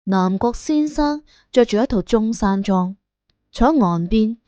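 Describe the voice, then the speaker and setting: A woman's voice: a little high, expressive, a little slow, dark tone, no room sound, highs cut off. A woman narrating an audiobook in a colloquial storytelling style.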